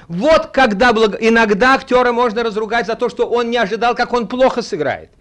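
A man speaking to an audience in an anecdotal, theatrical way, with halting, digressive phrasing. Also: high voice. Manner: theatrical storytelling, acting out dialogue. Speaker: theatre colleague giving a reminiscence.

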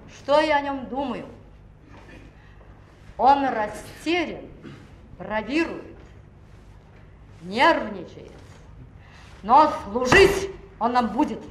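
An actress playing a stern woman commissar in a 1960s film, calm, probing and commanding. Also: a little high, slight background noise. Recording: roomy old film soundtrack with music.

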